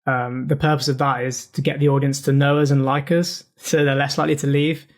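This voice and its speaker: A man explains his newsletter editing work in a relaxed, casual way, peppering his talk with "like" and "um". His pitch stays quite flat and even throughout. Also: fairly quick. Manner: relaxed, many fillers like "like" and "um". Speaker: newsletter editor.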